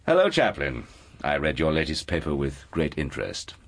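An actor playing a commanding aristocratic uncle, curt and coolly domineering in an audiobook drama. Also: male voice, extremely low pitch, huge pitch swings, normal speed, heavily acted. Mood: courteous, controlled. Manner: curt, imperious, clipped commands.

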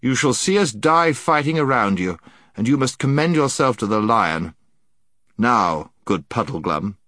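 A man's voice: mid-range voice, expressive, a little slow, sharp tone, no echo, clean studio sound. A man voicing a noble prince in a storybook, gallant and stern, with formal, courtly, commanding speech.

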